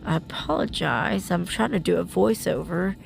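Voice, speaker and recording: A woman's voice: fairly low pitch, moderate pace, gravelly, rough recording. A woman vlogger narrating her beading in a casual voiceover, with a rambling, self-deprecating way of talking and a stuffy, sniffly nose.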